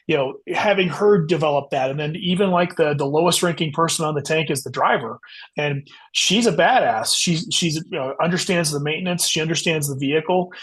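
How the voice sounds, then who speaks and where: A man's voice: on the high side, fairly bright tone, slightly rough. A man speaking as a guest author in an interview, explaining his writing in earnest, long conversational answers.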